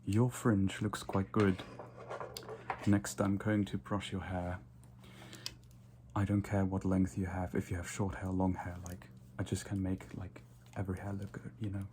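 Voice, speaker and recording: A man playing a casual, fussy, slightly snobbish vampire barber in an ASMR roleplay, murmuring softly and drifting into whispers. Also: sits low, level tone, a little slow, noisy background. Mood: pleased, fussy. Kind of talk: ASMR roleplay, soft close personal attention.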